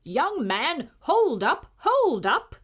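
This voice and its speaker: A woman's voice: sits high, sing-song, very slow, a bit bright, pitched above the performer's own voice, exaggerated voice. Woman reading as a proud, formal little knight. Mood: indignant, urgent. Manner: formal, old-fashioned, dignified speech. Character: proud, indignant, formal tiny knight, a grown man. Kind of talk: storybook character reading.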